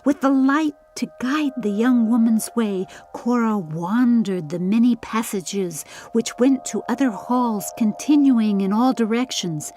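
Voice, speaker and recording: Slow, dramatic woman audiobook narrator. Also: fairly high pitch, darkish tone, slight background noise. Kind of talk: scripted storybook narration.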